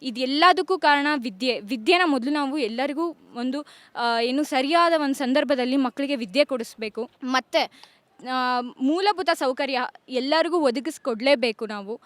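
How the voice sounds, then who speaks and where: A woman giving an earnest informal speech as a contest participant, with halting starts and some English words mixed in. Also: high-pitched, fairly bright tone, no echo.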